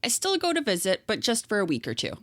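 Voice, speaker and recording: A woman co-hosting a podcast, chatting casually and reading online stories aloud in a steady storytelling way. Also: mid-range voice, fast talker, no room sound. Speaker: podcast co-host.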